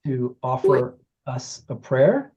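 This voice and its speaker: A host informally welcoming people to an online meeting, speaking haltingly and searching for words. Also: medium pitch, sing-song, dark tone. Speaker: host, justice team director. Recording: dull, lo-fi meeting audio.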